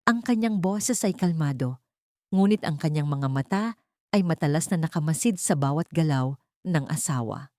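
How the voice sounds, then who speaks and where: Low-pitched woman narrating drama. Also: animated, normal speed, darkish tone, no echo, clean studio sound. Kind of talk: dramatic scripted audio story narration.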